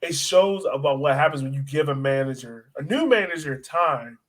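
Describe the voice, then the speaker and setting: A man's voice: a little high, lively intonation, moderate pace. A man co-hosting a sports podcast, talking casually about soccer in a slangy, emphatic way and repeating phrases.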